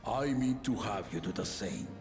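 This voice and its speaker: Deep, gravelly old king. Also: expressive. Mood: solemn, commanding. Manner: measured, solemn, regal delivery. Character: aging benevolent king and father, stately, fond of contests. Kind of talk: scripted video game voice acting. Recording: echoing hall reverb under game music.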